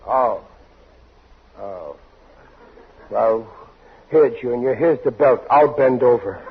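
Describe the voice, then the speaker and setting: A man's voice: mid-range voice, slow. An actor playing a blustering, sentimental working-class father in 1940s radio comedy, emotional and given to sputtering. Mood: deflated, guilty. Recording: noisy, muffled 1940s radio recording.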